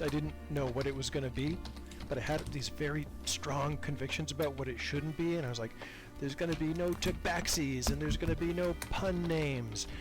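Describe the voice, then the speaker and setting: A man being interviewed as a podcast creator, talking casually and reflectively in a self-deprecating, hesitant way, repeating words as he thinks. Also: fairly high pitch.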